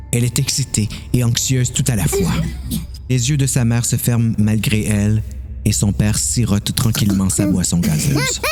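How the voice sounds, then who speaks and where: A man's voice: medium pitch, dark tone. A man with a Quebec French accent narrating a scripted, dramatised story.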